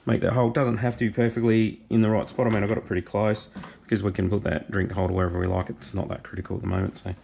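An Australian man, hoarse from a cold, chatting casually through a workshop how-to while he works on metal. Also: lowish voice, racing. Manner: relaxed, chatty, thinks aloud with fillers. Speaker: host. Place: home workshop shed. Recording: noisy workshop with tool clatter.

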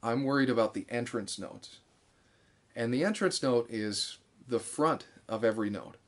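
A man's voice: medium pitch, normal speed. Man in his thirties explaining music. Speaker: musician giving a music lesson. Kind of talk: instructional talk to camera. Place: small bare room indoors.

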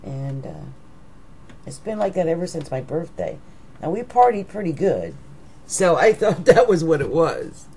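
A middle-aged woman with a rough, raggedy voice, talking casually to her webcam at home as an astrologer, slow and musing, trailing off into whispers. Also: low-pitched, big pitch swings, a little mellow. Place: living room at home. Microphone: webcam at desk.

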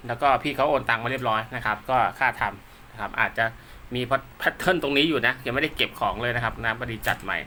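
A man's voice: mid-range voice, bright, forceful tone, slight background noise. A man giving casual, polite, rambling hands-on commentary as a technician showing gear he is setting up, half talking to himself as he works. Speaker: radio technician and seller presenting his work.

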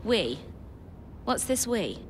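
A woman's voice: on the high side, very animated, slow pace, slight background noise. An actress playing a jaded, sarcastic woman in a zombie video game, with a dry, sceptical delivery.

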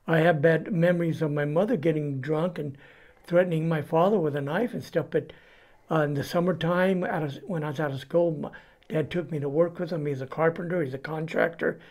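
A man in his seventies with a Californian accent shares a personal story as an interview guest. His voice sits slightly high for a man. He speaks plainly, starting and stopping as he goes. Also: steady tone, fairly quick, a little mellow. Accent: Californian American. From California, United States. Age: 70s. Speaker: interview guest giving testimony. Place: indoors before a cloth backdrop.

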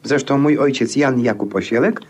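A man acting an irritable, pompous gentleman in a 1950s radio play, gruff and indignant. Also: mid-range voice, normal speed, close to an everyday voice. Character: irritable, haughty, absurd gentleman with a cane.

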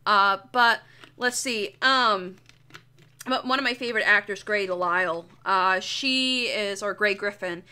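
A young woman with a bright, mid-pitched voice streams casually as she draws. She rambles in a loose, jokey way and swears freely. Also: measured pace, smooth. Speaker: streamer, artist. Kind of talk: casual livestream chat while drawing.

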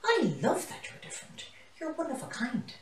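A woman's voice: high-pitched, huge pitch swings, normal speed, a little hiss or hum, voice pushed up. A woman voicing a friendly, delighted lioness in a storybook, emphatic and encouraging. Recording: roomy, mic some distance away.

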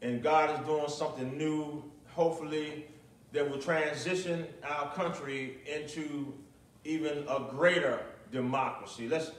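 A middle-aged man preaching and praying as a pastor, speaking in measured, deliberate short phrases into a pulpit microphone in a small church. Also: on the high side, steady tone, slight background noise. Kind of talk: sermon with prayer and commentary. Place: small, nearly empty church, at a pulpit indoors. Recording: echoey church, distant microphone.

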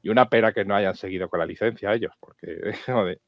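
A man's voice: fairly low pitch, quick pace, no echo, dull audio. A man from Spain with a Castilian accent, talking casually and exclamatorily as a gaming podcast panelist. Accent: Castilian Spanish. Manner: colloquial, exclamatory. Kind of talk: casual chat.

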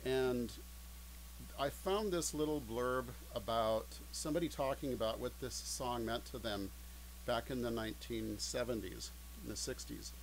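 An older man informally introducing a piece of music in a halting, hesitant way, as a musician at home. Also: mid-range voice, rough recording. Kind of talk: informal spoken introduction to a song. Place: indoors, cluttered home study. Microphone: video call, mic at a short distance. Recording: video call with background hum.

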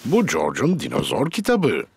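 A man's voice: lowish voice, huge pitch swings, normal speed, creaky, background noise. A man narrating a children's cartoon in a scripted storytelling style. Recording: loud music behind the voice.

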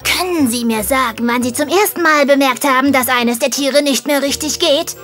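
A woman's voice: high voice, normal speed, a bit bright, faint music behind. A woman playing a polite, inquiring detective in a German audio drama. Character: polite, inquiring detective questioning a stable hand.

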